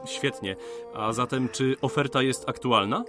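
An actor playing a polite, eager 19th-century surveyor, speaking formally and courteously in a radio drama. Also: male voice, mid-range voice, unhurried, over music, close to an everyday voice. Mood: polite, businesslike.

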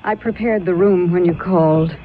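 An actress playing a brisk, direct woman in a radio drama, earnest and composed in her delivery. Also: on the low side, steady tone, noisy background, lightly acted. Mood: grave, earnest. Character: brisk, urgent female ally of a mystic. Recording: narrow tinny radio sound, faint music.

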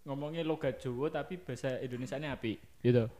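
A man with a Javanese accent, talking in a casual, joking and animated way as a discussion host. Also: mid-range voice, quick pace. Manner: animated, joking, mimics others in put-on voices. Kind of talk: casual chat mixing regional speech and Indonesian.

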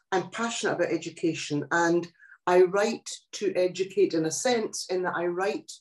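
An older woman, a poet, talking about her life in a relaxed interview, thoughtful and pausing often. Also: on the low side. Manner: reflective, thoughtful, with many pauses and restarts. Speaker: guest poet. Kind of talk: casual interview chat. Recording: echoey room.

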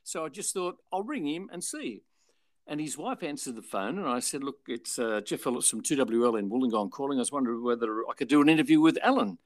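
An older Australian man, a former radio and television presenter, telling anecdotes in a relaxed interview and acting out the people he quotes. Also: medium pitch, quick pace, no room sound. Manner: chatty, animated retelling with voiced quotes.

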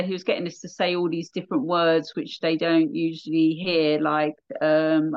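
A woman's voice: deep voice, muffled recording. A woman with a southern English accent, a radio presenter chatting casually in an interview, with lots of fillers. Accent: English, southern England. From England. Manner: chatty, many fillers, laughs readily.

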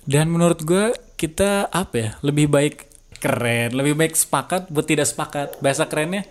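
A man's voice: on the high side, moderate pace. A man with a Jakarta Indonesian accent, chatting casually and jokingly in slang. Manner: joking, slangy, short back-channel replies. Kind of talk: casual chat.

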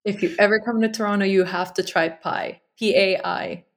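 A woman's voice: mid-range voice, steady tone, moderate pace, creaky. Cheerful young woman guest chatting. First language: French-educated Lebanese. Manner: cheerful, laughs often. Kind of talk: casual chat.

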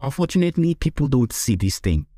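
A man with a Nigerian accent hosting a podcast interview, speaking earnestly and haltingly, often repeating words. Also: medium pitch, big pitch swings, warm tone, no room sound.

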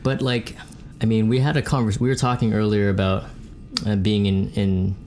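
A man's voice: fairly low pitch, level tone, normal speed, mellow, slight background noise. A man co-hosting a podcast, chatting casually and loosely with a lot of swearing and filler words. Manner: loose and profane, lots of fillers. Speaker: podcast co-host.

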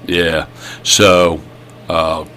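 Bright-voiced rambling male host. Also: low voice, level tone, drawn-out words, smooth. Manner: rambling, joking, many fillers. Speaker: podcast host. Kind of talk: casual chat.

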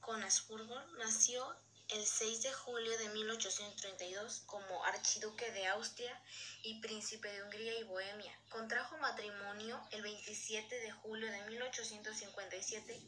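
A woman's voice: mid-range voice, steady tone, moderate pace, sharp tone, a little hiss or hum, low-quality recording. A woman presenting in a formal way, reading scripted educational narration aloud.

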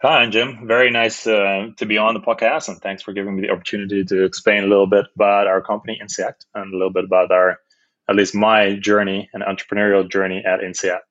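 A man's voice: lowish voice, little pitch movement, fast talker, fairly bright tone, no room sound, muffled recording. A man in his thirties from the Netherlands, a startup co-founder answering interview questions casually, with many fillers and restarts.

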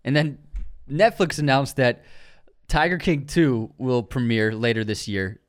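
Laid back movie podcast dude, middle pitch, dry close sound. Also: male voice, unhurried, no room sound. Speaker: podcast co-host. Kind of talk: casual chat about movie news.

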